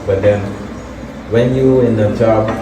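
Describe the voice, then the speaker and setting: A young man with a Guyanese accent, talking about his music as an interview guest, relaxed and halting with many fillers. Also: lowish voice. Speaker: guest, soca artist. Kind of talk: casual interview. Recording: echoey room, distant mic, noisy.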